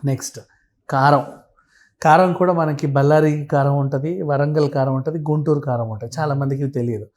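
A man's voice: fairly high pitch, steady tone, a little fast, darkish tone. A man with a Telugu accent talking casually and explaining things through everyday examples and lists, mixing in English words.

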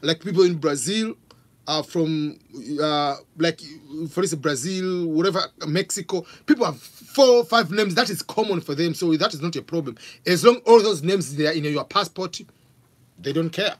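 Tanzanian man, forties, fairly high voice, animated live Q&A host, home office, studio mic. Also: moderate pace. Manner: animated, gestures a lot, reads out questions. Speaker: host answering viewer questions. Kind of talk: live Q&A stream. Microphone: studio mic on stand with pop filter.

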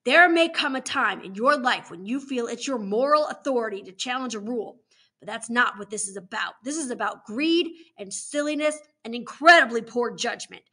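A woman's voice: on the high side, animated, normal speed, sharp tone. A woman voicing a stern, indignant prison warden in an audiobook, scolding with emphatic authority. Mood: stern lecturing.

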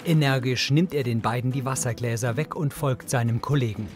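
A man's voice: medium pitch, brisk. A man reading scripted audio description of scenes in the present tense as a narrator. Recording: music bed under the voice. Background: background music.

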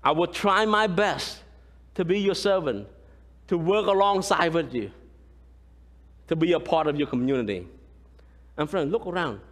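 A man in his thirties, a pastor from Cambodia with a Khmer accent, preaching a lively sermon and often asking the congregation "right?". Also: a little high, normal speed, long pauses. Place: large church sanctuary, indoors. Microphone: far camera, room pickup. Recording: long church hall reverb.

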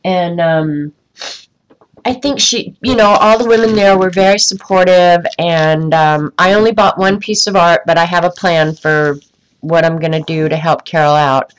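A woman's voice: on the low side, normal speed, smooth, poor audio. A woman with a stuffy, congested voice chatting casually to viewers on a live craft stream, rambling with many ums. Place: indoors at a craft desk.

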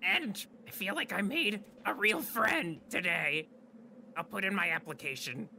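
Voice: medium pitch, lively intonation, measured pace, gravelly, slight background noise, exaggerated voice. An actor playing an upbeat young cartoon sidekick in a jokey improvised comedy dub, sometimes breaking into song. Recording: loud music behind the voice.

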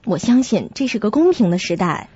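A woman playing a sensible, caring young flatmate who narrates and acts in a radio drama, with a husky, breathy voice. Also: mid-range voice, a little fast, no room sound, muffled recording, heavily acted. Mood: reassuring.

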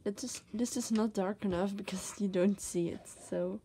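A woman with a Dutch accent, a native Dutch speaker, giving a casual drawing tutorial as she works, soft-spoken and hesitant, searching for words between many fillers. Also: mid-range voice, steady tone, normal speed, breathy edge. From Netherlands. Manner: soft-spoken, often drops to a whisper. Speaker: art tutor. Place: desk, indoors.

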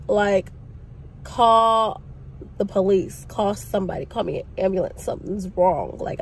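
A woman's voice: mid-range voice, a little hiss or hum, poor audio. Stuffy-voiced woman vlogging from her car. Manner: chatty, rambling, lively slang. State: sick, congested voice. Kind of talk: casual chat to camera. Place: inside a parked car. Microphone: phone camera at arm's length.

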